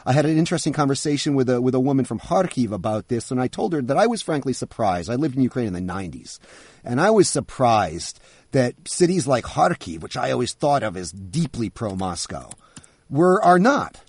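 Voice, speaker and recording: Middle-aged male interviewer, husky, animated pitch, dry close sound. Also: fairly quick, no room sound. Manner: thoughtful, restarts phrases. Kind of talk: conversational interview.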